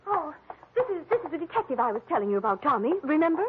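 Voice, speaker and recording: A woman's voice: high voice, sing-song, racing, noisy background. An actress playing an evasive, pleading married woman with dramatic delivery in a 1940s radio drama. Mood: guarded. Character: pleading, evasive, melodramatic wife of a rich man. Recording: muffled, phone-like old radio recording.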